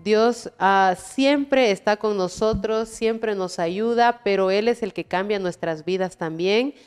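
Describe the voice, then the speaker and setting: Smooth-voiced woman hosting a faith interview. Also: medium pitch, slow. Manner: warm preachy phrasing with frequent "¿verdad?" prompts. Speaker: host.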